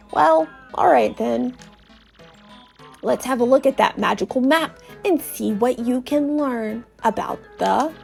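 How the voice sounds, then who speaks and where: A woman's voice: sits high, gravelly, darkish tone, heavily acted. A woman voicing a scholarly older male professor creature, fussy, earnest and formal as he explains.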